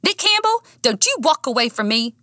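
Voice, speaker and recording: Hot-tempered jilted Texan woman, acted by a female audiobook reader, high and squeaky, wildly swooping pitch. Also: sing-song, unhurried, a bit bright, no room sound, clean studio sound, full character voice. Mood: shrieking. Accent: Texas. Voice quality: squeaky when worked up. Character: hot-tempered, heartbroken Texan woman, shrill when enraged.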